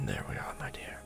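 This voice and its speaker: A man playing a courtly, protective, brooding vampire lord in a soft, formal ASMR romantic roleplay. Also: lightly acted. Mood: soothing, protective. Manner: formal, archaic wording, slow and hushed.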